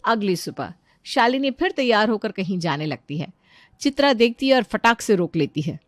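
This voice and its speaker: A woman's voice: medium pitch, lively intonation, moderate pace, no room sound, studio quality. A woman delivering scripted storytelling narration as the narrator of a family tale.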